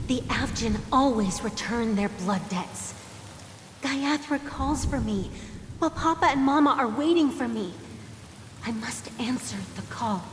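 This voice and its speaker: An actress voicing a solemn, devoted young elder sister in a game, giving a tender farewell blessing. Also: female voice, a little high, expressive, measured pace, a little hiss or hum. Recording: echoey hall, rain and thunder behind.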